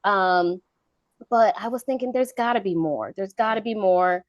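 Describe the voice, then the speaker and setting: A woman's voice: mid-range voice, normal speed, no room sound, studio quality. Reflective woman telling her story. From Charlotte, North Carolina. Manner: reflective, storytelling with many fillers. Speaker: guest, government contracting consultant. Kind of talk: casual interview talk.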